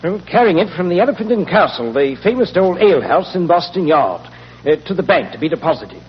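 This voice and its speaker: An actor playing an anxious English bank chairman with a rough, husky voice and an agitated, hesitant manner, in 1940s radio style. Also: high-pitched, big pitch swings, brisk, heavily acted. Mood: worried explaining. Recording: muffled, echoey old radio recording.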